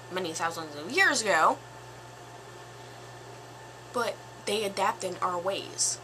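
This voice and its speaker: A woman in her twenties with a slightly raspy voice, giving her opinion in a casual vlog, slow and thoughtful with pauses and fillers. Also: medium pitch, noisy background. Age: 20s. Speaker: vlogger.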